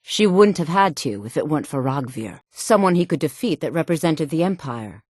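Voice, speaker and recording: A woman voicing a sultry, sharp-tongued, disdainful townswoman and courtier in a fantasy video game. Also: low voice, very animated, normal speed, no room sound, clean studio sound. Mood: grave, accusing. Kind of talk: video game character dialogue.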